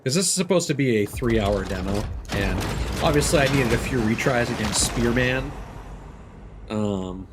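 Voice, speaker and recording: A man's voice: mid-range voice, lively intonation, moderate pace, noisy background. A young man streaming a game, chatting casually with lots of fillers. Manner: rambling, many fillers, reads item text aloud. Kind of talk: casual gameplay commentary.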